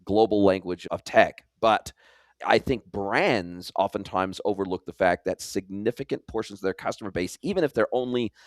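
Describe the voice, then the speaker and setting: A male podcast host with a blended American and New Zealand accent. His voice is on the low side and very clean, recorded close and dry. He talks in an effusive, conversational interview style. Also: normal speed, no echo. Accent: mixed American and New Zealand. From California, United States, later long in New Zealand.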